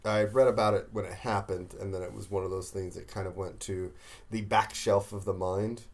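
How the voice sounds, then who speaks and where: A man with an American accent, a podcast co-host telling stories in casual chat, with quick asides and frequent restarts. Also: low voice, fairly even intonation, a little mellow.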